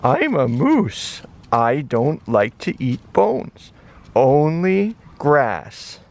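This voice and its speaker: A man voicing a big friendly moose with a slow, simple manner in a children's animal story. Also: fairly high pitch, sing-song, creaky, darkish tone, full character voice. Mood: gentle, content. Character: big friendly slow moose who loves eating grass.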